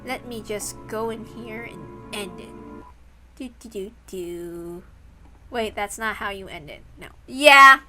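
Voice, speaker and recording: A woman's voice: mid-range voice, slow. A woman streaming a read-aloud of a visual novel, informal in the way she reads and comments. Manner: chatty, informal between passages. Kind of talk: read-aloud narration with casual asides. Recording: music behind the voice.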